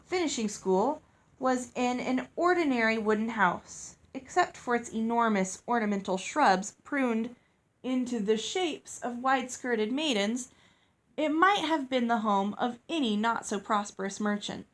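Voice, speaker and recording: A woman's voice: on the high side, measured pace. A woman reading a story aloud as narrator. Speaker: audiobook reader.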